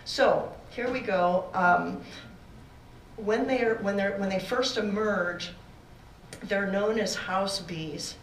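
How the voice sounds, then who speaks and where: A woman presenting a beginner beekeeping class to a room, loud-voiced and talking in a halting way with fillers and restarts. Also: medium pitch, a little hiss or hum. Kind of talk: classroom lecture with slides. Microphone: far room recording, no mic for a while. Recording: echoey room from a distance.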